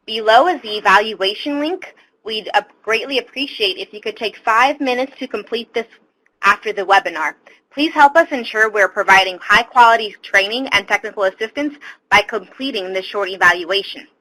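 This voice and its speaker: A woman's voice: medium pitch, normal speed, sharp tone, poor audio. A woman reads polite, scripted housekeeping notes at the start of a webinar as its moderator.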